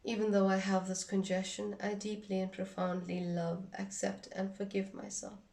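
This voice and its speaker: A woman giving an instructional demonstration in a measured, deliberate way, phrase by phrase. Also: medium pitch, flat delivery, creaky, a little mellow, recorded from a distance. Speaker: instructor demonstrating a tapping technique.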